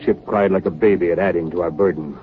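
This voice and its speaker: A man's voice: low voice, fairly even intonation, quick pace, lightly acted. Man playing a stern, clipped sea captain, tinny old radio. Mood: grim, weary. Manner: clipped, terse log-like delivery and barked orders. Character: stern, commanding ship's captain in the Arctic. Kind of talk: 1930s radio acting. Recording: muffled, noisy 1930s radio broadcast recording.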